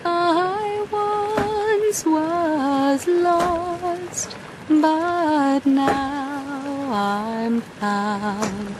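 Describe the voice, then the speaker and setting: Woman singing a slow, high hymn. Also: steady tone, over music. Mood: reflective. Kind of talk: slow sung hymn in radio drama.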